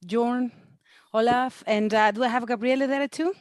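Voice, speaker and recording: A woman's voice: fairly high pitch, fairly even intonation, moderate pace, no room sound. A woman moderating an online event in an informal, chatty, encouraging way. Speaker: webinar moderator. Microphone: computer mic on video call.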